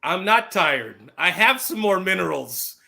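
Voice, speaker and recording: A man in a casual sports chat, talking as a fan panelist in an animated, slangy way with emphatic repetition. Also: high voice, a little slow, vocal fry. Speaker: football fan podcast panelist.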